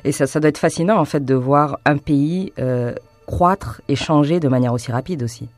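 A male radio host who asks measured, clear questions in an interview. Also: a little high, no echo. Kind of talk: radio interview and scripted intro. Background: music under intro.

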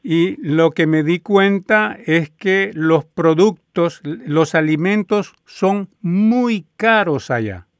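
A man interviewing a guest on the radio, asking measured, polite questions. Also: high-pitched, animated, clear, resonant tone, dry recording, clean studio sound.

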